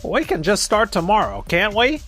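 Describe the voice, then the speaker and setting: A man playing a smug, animated corporate manager. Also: high voice, moderate pace, faint music behind, full character voice. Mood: dismissive. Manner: smug, blustering, dismissive. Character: arrogant, careless male contractor manager, self-serving and dismissive. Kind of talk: scripted manga dub voice acting.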